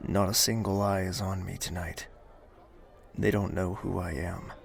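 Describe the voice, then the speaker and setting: A man's voice: sits low, flat delivery, a little slow, gravelly, a faint crowd. A man playing a reserved young prince in an audio drama, speaking formally and guardedly with dry wit. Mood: reflective. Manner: formal, guarded, gently teasing. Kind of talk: audio drama dialogue and narration.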